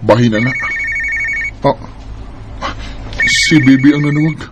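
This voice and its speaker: A man's voice: medium pitch, a little slow, close to an everyday voice. Man acting a startled young boyfriend, noisy recording. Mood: indignant. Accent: Filipino, Visayan. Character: devoted young boyfriend, easily startled and indignant. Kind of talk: radio drama acting.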